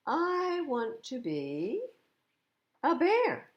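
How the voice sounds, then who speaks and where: A woman voicing a young male storybook bunny who is discontented and stubbornly wishful, with emphatic, drawn-out declarations. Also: high-pitched, wildly swooping pitch, dull audio, pitched above the performer's own voice, full character voice.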